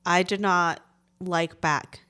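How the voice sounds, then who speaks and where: A woman in her forties with a slightly low voice chats as a podcast co-host. Her talk is informal and candid, full of everyday slang and loose banter. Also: steady tone, slow pace, pushed and bright, clear, resonant tone. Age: 40s. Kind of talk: casual conversational banter.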